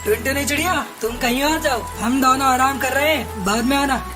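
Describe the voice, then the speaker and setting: A woman's voice: high voice, brisk, lightly acted. A woman voicing a selfish, bossy husband crow in a children's cartoon. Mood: dismissive, bossy. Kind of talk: Hindi children's cartoon dubbing. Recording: loud music under the voice.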